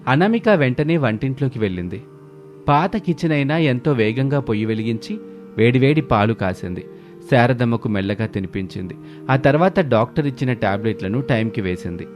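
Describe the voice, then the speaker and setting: A man's voice: mid-range voice, a little mellow. A man narrating a scripted moral story as the storyteller. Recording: music bed under the voice.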